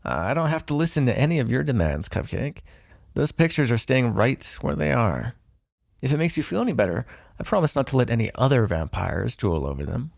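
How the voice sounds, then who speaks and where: A man's voice: medium pitch, creaky, no room sound, clean studio sound. A man playing a smug, teasing vampire in an intimate ASMR roleplay, sardonic and flirtatious, possessive but fond. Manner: sardonic, flirtatious, swearing, sighing.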